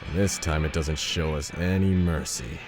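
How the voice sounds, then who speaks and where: A man playing a big, gruff but supportive ex-military trainer in an audio drama. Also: a bass voice, fairly even intonation, normal speed, over music. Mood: serious. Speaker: military veteran and coach. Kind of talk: audio fiction dialogue.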